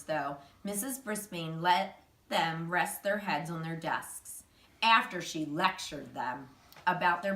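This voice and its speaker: A woman's voice: fairly low pitch, a bit bright. Woman reading a storybook, roomy echo. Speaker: teacher reading a children's chapter book aloud. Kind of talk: expressive storybook narration. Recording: roomy echo, distant microphone.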